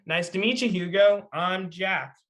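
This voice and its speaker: Guy doing a friendly southern teen boy, bashful, on the higher side, table read. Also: measured pace, a bit bright. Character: friendly, bashful Southern teenage boy, front desk assistant. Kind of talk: table read of a scripted pilot.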